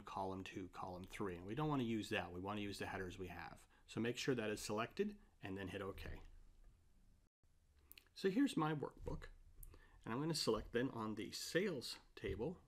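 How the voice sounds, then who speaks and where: A man speaking as an instructor, explaining step by step in a scripted tutorial narration. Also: on the low side. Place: voice-over for a slide and screen recording.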